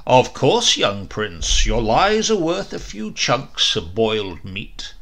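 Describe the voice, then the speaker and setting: A man's voice: big pitch swings, slow pace, vocal fry, fairly bright tone, full character voice. A man reading a comic storybook and voicing a jovial, mocking knight prince who teases a lying bird. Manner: booming, teasing.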